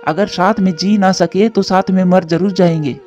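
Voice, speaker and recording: A man's voice: high voice, steady tone, moderate pace, darkish tone, soft background music, lightly acted. A man voicing a weary, ageing father bird in a cartoon, lamenting earnestly and plaintively, on a dull lo-fi recording. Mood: sad resolve. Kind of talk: Hindi cartoon voice acting.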